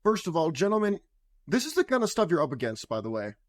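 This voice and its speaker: A man hosting a podcast, his voice partly gone and hoarse, talking in a provocative, sarcastic, lecturing way. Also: fairly high pitch, big pitch swings, quick pace. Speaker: podcast host. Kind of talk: opinionated podcast monologue.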